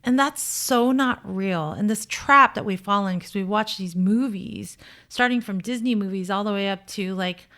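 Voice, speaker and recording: A woman's voice: mid-range voice, normal speed, a bit bright. A woman speaking as a dating coach, giving conversational relationship commentary in an emphatic, opinionated and blunt way. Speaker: dating coach and show host.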